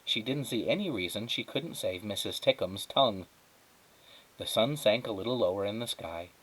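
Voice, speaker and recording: Animated man narrating a storybook. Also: lowish voice, normal speed, husky, poor audio. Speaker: teacher reading aloud to pupils.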